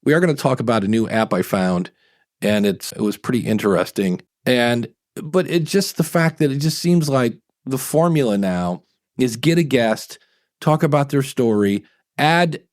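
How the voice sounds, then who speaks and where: A man's voice: medium pitch, lively intonation, moderate pace, no echo, studio quality. A man hosting a podcast, giving his opinions in a casual, rambling way. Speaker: podcast host.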